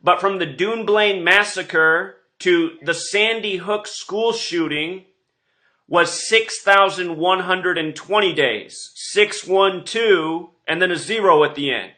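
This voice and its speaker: A man's voice: high voice, slow, pushed and bright. A man hosting an online show, speaking in a rambling, insistent monologue with emphatic delivery. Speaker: online video host.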